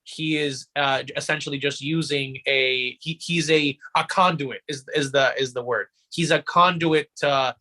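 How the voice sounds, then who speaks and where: A man's bright, forceful voice, set a bit higher than average. He hosts in a casual, explanatory way, circling back and repeating words as he works out his point. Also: fairly even intonation, unhurried, hardly any pauses. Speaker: podcast host.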